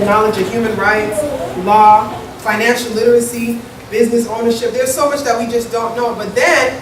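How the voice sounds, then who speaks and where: A woman moderating a community panel discussion in front of an audience, talking in a conversational way and often checking in with "right?". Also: on the high side. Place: large echoey event hall with an audience. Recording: echoey hall, distant mic, audible hiss.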